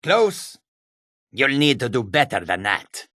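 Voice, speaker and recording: A man voicing a wary, combative male cat-folk character from a fantasy video game, with a gravelly, raspy voice and exotic, formal phrasing. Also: medium pitch, very animated, slow pace, bright, forceful tone, dry recording, studio quality, exaggerated voice. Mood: combative, taunting.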